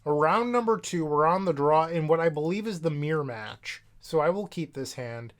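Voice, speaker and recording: A man's voice: fairly high pitch, animated, normal speed. A young man with a slight cold, casually commenting on his own card game play, thinking aloud with wry asides, recorded at a home desk setup. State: sniffly from a cold. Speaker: gaming content creator.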